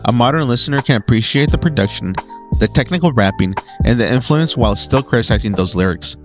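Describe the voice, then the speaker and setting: A man's voice: mid-range voice, expressive, fast talker, over music. A man delivering scripted video essay narration as a documentary narrator, with emphatic lists and dramatic short phrases.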